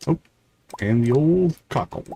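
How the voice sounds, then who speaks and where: A man's voice: a little high, animated, unhurried, warm tone. A man giving casual live game commentary as a streamer, thinking aloud and chatting to viewers.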